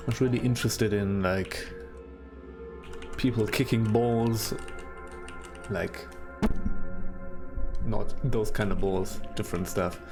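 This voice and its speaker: A man's voice: fairly low pitch, steady tone, takes it slowly, long pauses, music in the background. A man with a German accent giving casual, rambling commentary while playing a video game, chatty and self-deprecating. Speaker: let's play host.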